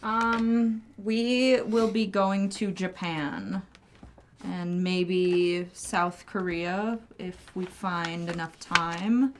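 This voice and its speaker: A woman's voice: on the low side, extremely slow, slight background noise. A young woman, a guest on a livestream, chatting casually and telling stories with giggles. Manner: giggly, animated storyteller. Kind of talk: casual chat.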